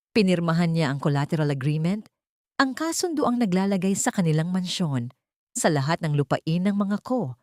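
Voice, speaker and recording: A woman with a Filipino accent narrating a dramatic scripted story in an expressive, theatrical manner. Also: lowish voice, no echo, studio quality.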